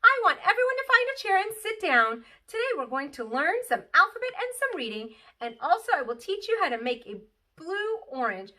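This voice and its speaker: A woman's voice: extremely high pitch, big pitch swings, moderate pace, pushed and bright, voice pushed up, heavily acted. A woman reading a children's storybook aloud as a bold, chatty little kindergarten girl, emphatic and exclamatory. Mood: bossy, proud. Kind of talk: expressive children's read-aloud.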